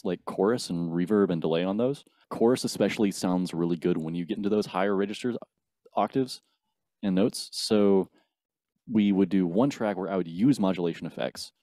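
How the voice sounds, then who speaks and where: A man in his twenties, a band's bassist, explaining the recording process methodically in a casual interview. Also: mellow, no room sound, clean studio sound. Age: 20s. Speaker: band bassist.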